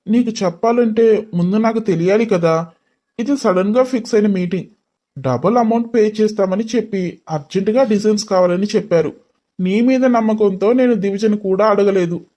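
A man's voice: high voice, normal speed, dark tone, natural voice. A man playing an irritated, taunting young businessman in a dramatised story, scolding and mixing in English words.

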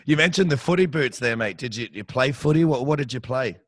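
A man's voice: mid-range voice, expressive, a little fast, fairly bright tone, no room sound. A man with an Australian accent hosting a swim podcast over a headset, chatty and matey. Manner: matey, chatty, calls guest mate. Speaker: podcast host and swim coach. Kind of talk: casual interview. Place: small room with hung jerseys.

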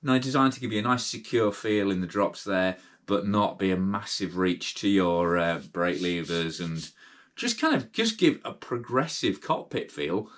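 Tired British man, low-pitched rambling host. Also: expressive, normal speed. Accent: British English. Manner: chatty, rambling with many fillers and restarts. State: tired late at night. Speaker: cycling channel host. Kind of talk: casual gear review to camera. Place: indoor workshop with brick wall and bikes.

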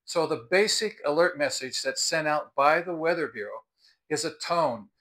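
A man's voice: on the high side, lively intonation, moderate pace, a bit bright. An American man in his sixties explaining products to camera in a conversational, emphatic, unscripted way. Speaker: product review host. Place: outdoors on a house porch by the front door.

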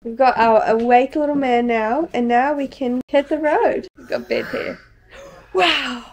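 A woman's voice: high-pitched, unhurried. A woman, chatting casually as she vlogs. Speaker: vlogger. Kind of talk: casual chatty vlog talk. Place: living room, car park and outdoor cafe. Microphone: camera at arm's length. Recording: handheld camera with background noise.

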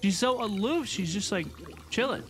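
A woman's voice: mid-range voice, lively intonation, normal speed. A young woman giving casual, slangy reaction commentary with enthusiasm, repeating words for emphasis into a close microphone. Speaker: reaction video host. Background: video game trailer music.

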